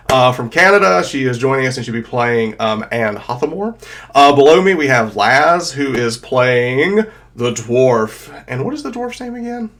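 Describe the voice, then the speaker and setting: A man's voice: medium pitch, a bit bright. A man in his thirties with a cold and a congested voice, casually recapping a tabletop campaign as dungeon master over a video call, with lots of fillers and restarts. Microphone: desk mic on a video call.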